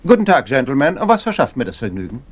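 An actor playing a stiff, self-important upper-class gentleman politician, formal and clipped, in a German crime radio drama. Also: mid-range voice, huge pitch swings, fast talker, a little hiss or hum. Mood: brisk, formal.

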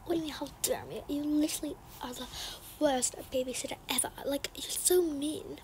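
A woman playing a sulky, sneaky little girl, high-pitched. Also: steady tone, brisk, a little mellow. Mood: defiant. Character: resentful, sneaky young girl plotting against babysitter. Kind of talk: improvised game roleplay storytelling. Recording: noisy home recording with clicks.

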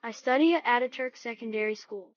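A narrator voicing a young schoolboy who gives short, clear answers about school. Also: mid-range voice, a little fast, no echo, pitched above the performer's own voice, lightly acted. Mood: plain. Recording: dry, slightly dull lo-fi.

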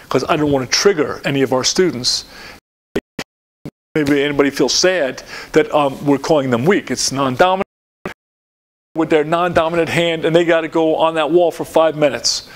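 Older man lecturing, rambling with restarts. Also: a little high. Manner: rambling, lots of fillers and restarts, gestures at slides. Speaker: lacrosse coach giving a clinic talk. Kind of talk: coaching clinic lecture. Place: dim lecture room in front of a projected slide.

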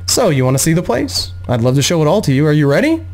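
A man's voice: a little high, sing-song, quick pace, darkish tone. A man voicing an eager, affectionate young woman bard in a fantasy audiobook story.

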